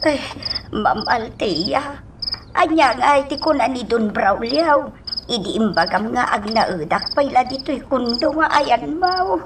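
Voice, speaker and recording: A woman's voice: a little high, lively intonation, measured pace, distant mic, background noise. A woman acting a weary, humble older mansion servant in an Ilocano radio drama, hesitant and deferential, with a Filipino accent. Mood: anxious, deferential.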